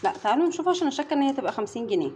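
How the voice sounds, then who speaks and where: Young Egyptian woman, home vlog mom, brisk chatty narration to camera, noisy phone audio with wrapper crinkle. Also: high-pitched. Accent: Egyptian Arabic. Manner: chatty, addressing viewers directly. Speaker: mother vlogger. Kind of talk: casual running commentary while unwrapping candy. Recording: steady noise with wrapper crinkling.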